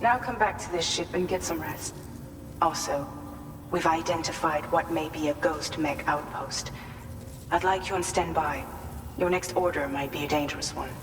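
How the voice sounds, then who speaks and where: An actor playing a composed, commanding mission leader in a video game, giving orders in a measured, authoritative way. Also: medium pitch, big-room sound, far from the mic, background noise, close to an everyday voice. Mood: calm, approving. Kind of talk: cinematic video game dialogue.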